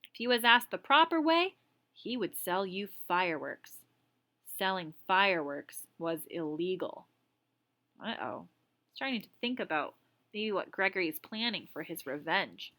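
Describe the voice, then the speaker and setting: A woman teacher reading a story aloud expressively, pausing to explain words in a casual way. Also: on the low side, very animated, a bit bright.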